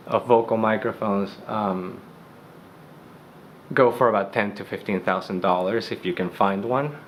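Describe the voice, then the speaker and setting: A young man, a studio engineer with a deep bass-heavy voice, explaining gear in a loose, conversational way with many fillers. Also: a little hiss or hum. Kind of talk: gear tutorial. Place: recording studio.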